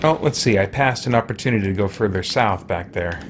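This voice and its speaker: A man streaming a game, chatting casually and thinking aloud in a halting, musing way. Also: fairly low pitch, heavy background noise. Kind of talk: casual live gaming stream chat.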